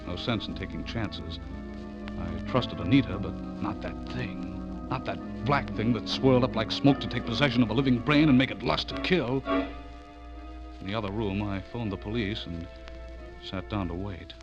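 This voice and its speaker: A man's voice: fairly low pitch, normal speed, loud music behind the voice, lightly acted. Male actor as frightened man narrator, old-time radio horror, melodramatic, haunted, unravelling, dull lo-fi sound, prominent musical score. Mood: grim, haunted. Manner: melodramatic, haunted, swinging from hushed to frantic. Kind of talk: 1940s radio horror acting.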